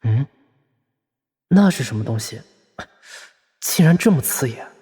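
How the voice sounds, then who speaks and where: A man's voice: mid-range voice, lively intonation, measured pace, warm tone, breathy edge, dry recording, studio quality. A man playing a dejected, lovesick young college student in an audio drama, hesitant and wounded. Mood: gloomy, musing. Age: young adult.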